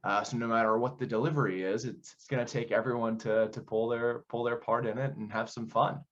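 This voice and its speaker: A man's voice: mid-range voice, steady tone, fairly quick, muffled recording. A man giving conversational, explanatory interview answers as a theater director, often posing questions as he goes.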